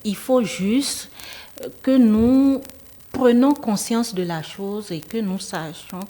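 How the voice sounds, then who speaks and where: A woman's voice: medium pitch, slow, warm tone. A woman dermatologist on a TV program, explaining skin care advice with hesitant fillers. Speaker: dermatologist guest. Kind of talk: expert explanation.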